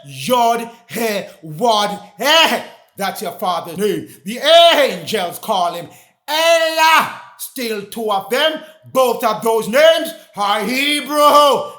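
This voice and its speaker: Male preacher, very high forceful voice, slow emphatic delivery, repetitive and confrontational sermon style. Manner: emphatic, repetitive, declaratory, often shouting; confrontational, addressing listeners directly. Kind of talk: fervent sermon. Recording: echoey, roomy sound.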